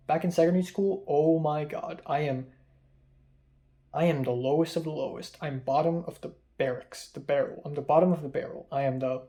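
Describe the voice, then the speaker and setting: A young man with a Hong Kong English accent talks in a raspy, muffled voice, slightly high for a man yet dark and mellow in tone. He rambles through a confessional vlog, running himself down and filling pauses with um. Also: steady tone. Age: young adult. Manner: rambling, self-deprecating, many um fillers. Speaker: vlogger.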